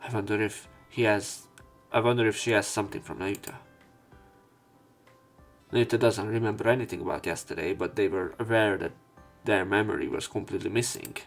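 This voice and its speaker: A man's voice: lowish voice, steady tone, brisk, vocal fry, faint music behind. A man reading visual novel narration aloud as a let's play narrator.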